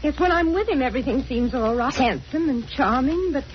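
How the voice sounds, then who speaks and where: Casting: tender young sweetheart, high voice, earnest and emotional, forties radio style, muffled recording. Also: female voice, expressive, brisk. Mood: tender, confessing. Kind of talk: 1940s radio drama acting.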